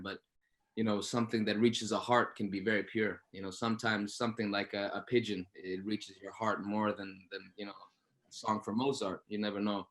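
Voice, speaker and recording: A man's voice: on the low side, fairly bright tone. A man speaking earnestly and emphatically as an actor in a post-show Q&A, often repeating phrases as he reflects. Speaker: actor, interview guest.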